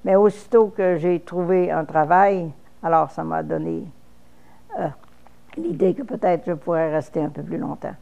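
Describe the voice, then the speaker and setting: A woman's voice: fairly low pitch, moderate pace, gravelly, warm tone, muffled recording. A woman in her fifties from Quebec with a Québécois French accent, speaking frankly in a personal interview, with false starts. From Quebec, Canada.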